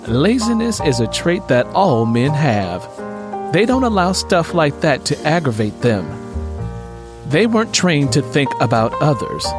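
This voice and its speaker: A man's voice: on the high side, pitched above the performer's own voice. A man voicing an affectionate, outspoken wife and mother in an audiobook story.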